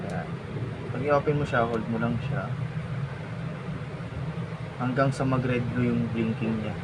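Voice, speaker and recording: A man's voice: mid-range voice, slow. A man with a Filipino accent casually giving a step-by-step product explanation, as a seller demonstrating a device. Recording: very noisy room with humming ambience.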